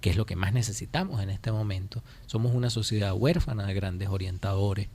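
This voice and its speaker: This is a man with a Venezuelan accent and a fairly low voice with a creaky, gravelly edge. He speaks as a guest in a political radio interview. His delivery is deliberate and emphatic, often restating a phrase to press the point. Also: mellow, a little hiss or hum. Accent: Venezuelan Spanish. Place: radio studio.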